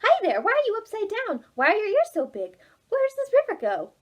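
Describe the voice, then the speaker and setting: A woman's voice: very high voice, wildly swooping pitch, fairly quick, voice pushed up, exaggerated voice. A woman voicing a young boy owl in a children's storybook, eager, curious and full of questions. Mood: excited, curious. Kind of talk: children's storybook read aloud.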